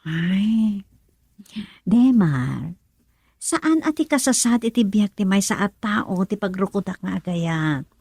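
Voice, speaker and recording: A woman's voice: mid-range voice, expressive, slow pace, vocal fry, darkish tone, slightly rough, no room sound, studio quality, heavily acted. An actress playing an earnest young woman in love, a daughter who stands by her choices, in a radio drama. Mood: earnest, teasing.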